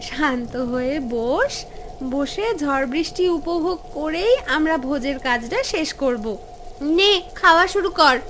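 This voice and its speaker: A woman voicing caring young village sisters in a children's fairy-tale cartoon, with expressive, earnest delivery. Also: high-pitched, unhurried, background noise. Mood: calm. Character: two young village sisters, caring, one anxious, one calm. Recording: music behind the voice.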